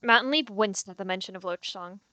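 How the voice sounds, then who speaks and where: A woman's voice: medium pitch, fairly quick, pushed and bright, no room sound. A woman narrating her character in an animal roleplay, casual and a little hesitant. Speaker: roleplay player.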